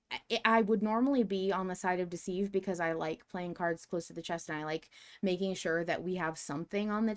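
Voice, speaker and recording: Lower-voiced woman hosting a fan podcast. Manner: hesitant, many restarts, reads listener comments aloud. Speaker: podcast host. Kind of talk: casual analytical discussion.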